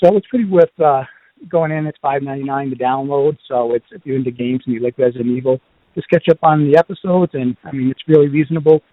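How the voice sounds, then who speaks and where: A man's voice: mid-range voice, fairly even intonation, quick pace, dry recording. Boston man with tinny phone-like sound. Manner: rambling, many ums and uhs. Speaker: podcast host. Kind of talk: casual review chat.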